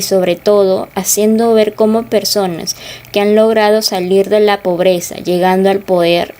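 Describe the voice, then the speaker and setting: A woman's voice: medium pitch, a little mellow. A young woman, giving scripted expository narration as a student presenter. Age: young adult.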